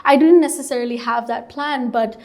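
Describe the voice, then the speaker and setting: A woman's voice: on the high side, normal speed, dark tone. Earnest young woman from Hong Kong. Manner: earnest, storytelling, frequent "like" and "you know". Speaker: startup co-founder. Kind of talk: interview answers.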